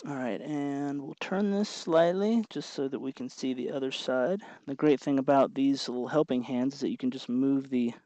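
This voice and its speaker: A man hosting a casual hands-on tutorial, explaining in a halting way as he works. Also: medium pitch, dark tone, no room sound, lo-fi sound. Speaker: host of a DIY electronics tutorial.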